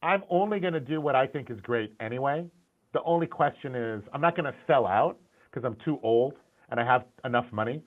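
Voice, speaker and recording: Middle-aged man, candid and animated, restarts often, mid-pitched voice, thin phone-like audio. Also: brisk. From Syrian, grew up in the US. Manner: candid, animated, frequent restarts and repeated words. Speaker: guest, concept art and animation director. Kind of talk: casual interview conversation. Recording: tinny phone-like call audio.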